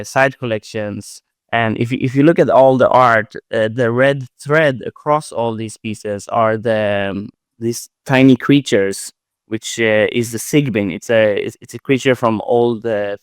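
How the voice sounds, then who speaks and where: Man, art project creator, halting speech, frequent fillers, clean voice. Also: mid-range voice, fairly even intonation, clear, resonant tone, dry recording, clean studio sound. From Sweden. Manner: halting, many restarts and fillers. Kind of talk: casual live audio chat, answering questions.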